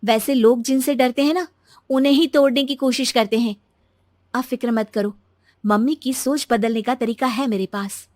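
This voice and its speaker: Woman acting young daughter-in-law, high voice, earnest, persuasive, respectful, animated family tale, very dry sound. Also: moderate pace, no echo, natural voice. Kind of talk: animated Hindi moral-story voice acting.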